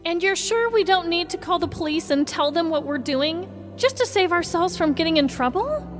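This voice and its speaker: A man voicing a nervous, timid woman trying to steal a car in a falsetto. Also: animated, normal speed, over music. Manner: high, hesitant, whiny falsetto.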